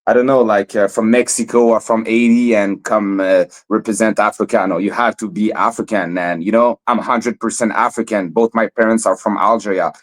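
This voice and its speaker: A man's voice: fairly low pitch, steady tone, a little fast, hardly any pauses. A young man from Montreal, a fighter guest in a casual interview, who stammers and repeats words often. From Montreal, Canada.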